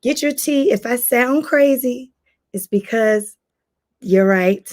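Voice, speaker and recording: Woman, hoarse, life coach, casual livestream host, chatty, greets viewers. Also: fairly high pitch, slow pace, a little mellow.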